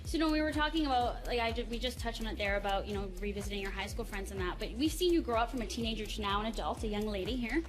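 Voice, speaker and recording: A woman's voice: mid-range voice, racing, few pauses. A woman interviewing a guest on a live TV show, with friendly, conversational questions. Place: busy TV studio.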